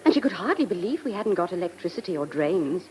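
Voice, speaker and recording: A woman's voice: lowish voice, very animated, fast talker, darkish tone. A woman playing a genteel, effusive, sweet-natured cottage wife in a radio drama, with an upper-middle-class English accent. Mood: gushing. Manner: effusive, emphatic. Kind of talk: British radio drama acting.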